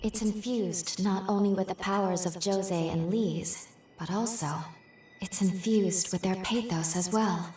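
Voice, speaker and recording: A woman playing a gentle, earnest companion who explains things in a measured way in a video game cutscene. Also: medium pitch, steady tone, close to an everyday voice. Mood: solemn, explanatory. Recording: distant, echoing, faint noise.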